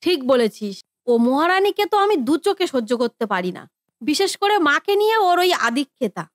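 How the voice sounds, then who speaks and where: Woman doing a whiny greedy cartoon sister-in-law, really high voice, sneers and complains, swoops around. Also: big pitch swings, brisk, no room sound, clean studio sound. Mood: spiteful, disgusted. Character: spiteful, greedy grown sister-in-law, grumbling and demanding. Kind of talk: cartoon family drama acting.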